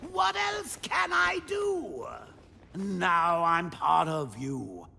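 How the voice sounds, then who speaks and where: Manic clown villain from a game, gleeful n taunting, drawn out, swoops all over. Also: male voice, sits high, big pitch swings, drawn-out words, gravelly, bright, forceful tone, husky, raised voice, full character voice. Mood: gleeful singing.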